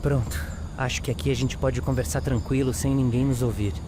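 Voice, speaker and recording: A man's voice: medium pitch, steady tone, brisk, close to an everyday voice. Guy acting as puzzled earnest sidekick in a detective radio play, normal mid voice, asking stuff, noisy background. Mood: puzzled, curious. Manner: questioning, conversational, earnest. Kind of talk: audio drama dialogue.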